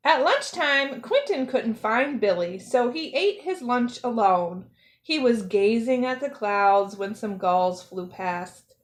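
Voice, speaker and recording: A woman storyteller reading a picture book aloud for children, with careful, expressive phrasing. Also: a little high, slow pace. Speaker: storyteller, zoo educator.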